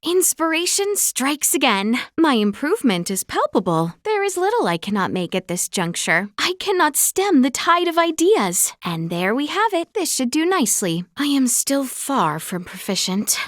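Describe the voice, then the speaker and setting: A refined young noblewoman from a fantasy game, high in pitch and very expressive. Her diction is formal and archaic, delivered with eager, proud theatrical poise. The sound is dry and close. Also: moderate pace, few pauses, no room sound. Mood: proud, inspired. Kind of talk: video game character voice lines.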